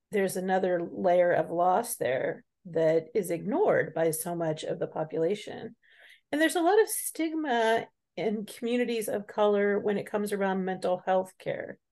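A woman hosting an interview, speaking in a measured, reflective, deliberate way. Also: lowish voice, dark tone. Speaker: interview host. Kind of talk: interview conversation.

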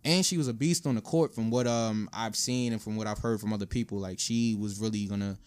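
A man co-hosting a casual podcast, talking informally with slang in a subdued, low-energy way. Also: a little fast, clean tone. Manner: informal urban slang, frequent "you know what I'm saying". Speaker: podcast co-host. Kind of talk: casual conversational podcast talk.

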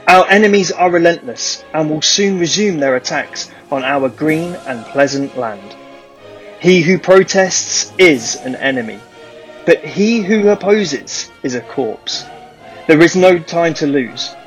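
Zealous revolutionary propagandist, declamatory, solemn radio address, slightly high male voice, radio drama. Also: rough recording.

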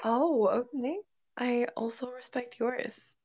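A woman in her late twenties joining as co-host, playful and quick to get defensive, swearing a lot. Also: a little high, a little mellow, dull audio, slight background noise. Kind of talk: casual chat.

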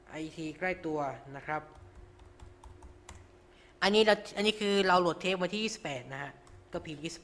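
A man's voice: high-pitched, pushed and bright. A man hosting an informal tutorial, talking through each step with frequent polite particles. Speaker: radio show host.

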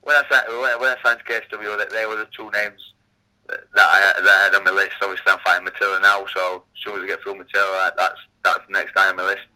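Bright Yorkshire man with stammering restarts. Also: deep voice, flat delivery, fast talker, rough recording. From England. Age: 30s. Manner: repeats words, stammering restarts. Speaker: guest fighter. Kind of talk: casual phone interview.

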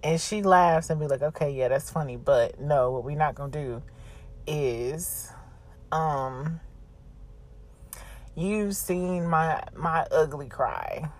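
Woman, podcast host, slow-paced, low-key flat pitch, blunt, slangy, profane, casual confessional chat.

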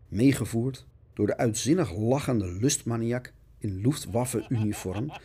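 A man's voice: medium pitch, warm tone. A man narrating a scripted radio essay in a melodramatic, mock-dramatic, declamatory manner.

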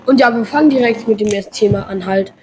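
A woman's voice: on the high side, quick pace, warm tone, a little hiss or hum, rough recording. A young woman in her teens hosting a casual podcast, rambling along with lots of filler words. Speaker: podcast host.

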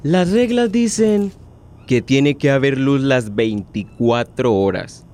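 A man's voice: mid-range voice, takes it slowly, darkish tone, natural voice. A man playing a commanding guard in a radio play, speaking emphatically. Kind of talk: radio theatre acting.

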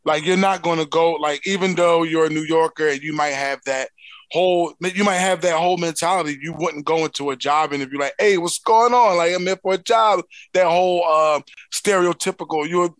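A man from Philadelphia with a Philly accent, co-hosting a casual podcast and telling stories with big, loud laughs. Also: on the high side, fairly quick, dry recording. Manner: animated storyteller, laughs loudly at his own stories. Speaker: co-host.

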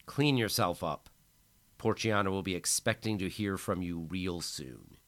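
A cold, commanding thug, voiced by a male narrator, giving curt orders. The deep voice stays firm and a touch louder than the narration. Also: measured pace. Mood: menacing.

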